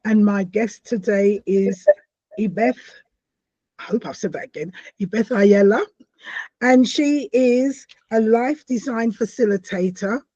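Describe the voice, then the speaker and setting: A woman's voice: mid-range voice, measured pace, warm tone, no echo. A woman hosting a conversational interview in a chatty, welcoming manner, recorded with dull lo-fi call audio. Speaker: host.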